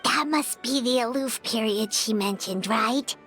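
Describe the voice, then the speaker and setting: A woman voicing a tiny, chirpy, inquisitive fairy girl with a squeaky childlike voice in a video game. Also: unhurried, fairly bright tone. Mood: hesitant, reassuring. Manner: refers to self in third person, hesitant ums. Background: background music.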